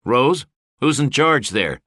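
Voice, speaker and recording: A man's voice: medium pitch, slow, no room sound, studio quality, pitched above the performer's own voice, exaggerated voice. A male narrator playing a determined middle-aged father who speaks firmly and steadily to his daughter. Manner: firm, steady.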